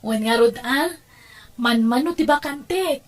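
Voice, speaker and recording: A woman playing a sweet, busy younger sister talking softly and fondly in an Ilocano radio drama. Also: high voice, unhurried.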